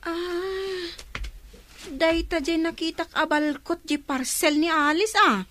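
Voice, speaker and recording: A woman's voice: extremely high pitch, fairly even intonation, slow pace, fairly bright tone, exaggerated voice. An actress playing an inquisitive, accusing village woman in a radio drama. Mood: gossiping, accusing.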